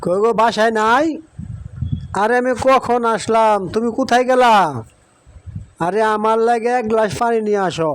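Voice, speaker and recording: A man playing an excitable, teasing village husband in a cartoon story, chatty and emphatic, with a rural Bangladeshi accent. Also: very high-pitched, moderate pace, long pauses, a little hiss or hum. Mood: grumbling. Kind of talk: Bengali cartoon story voice acting.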